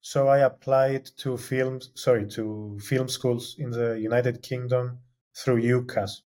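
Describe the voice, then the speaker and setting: A man's voice: mid-range voice, little pitch movement, slow, a little mellow. A young man whose first language is Greek, answering interview questions in a hesitant way with many fillers and restarts. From Cyprus. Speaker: guest, film graduate.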